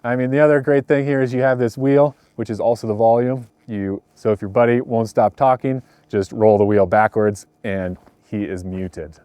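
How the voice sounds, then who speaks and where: A man's voice: medium pitch, steady tone, moderate pace, hardly any pauses, dark tone, smooth, dry recording. A man giving a casual product review in vlog style, explaining things in a laid-back, plain way. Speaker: host of a ski gear review.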